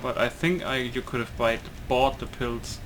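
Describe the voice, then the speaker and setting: A man with a medium-pitched voice plays a game and comments casually as he goes. He sounds hesitant, often muttering to himself, with clearly noisy game ambience behind him. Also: fairly even intonation, moderate pace, fairly bright tone. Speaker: gamer, let's player.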